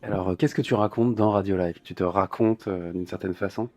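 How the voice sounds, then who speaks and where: A man's voice: sits low, fairly even intonation, brisk, darkish tone. A man asking casual interview questions with informal, slightly tentative phrasing.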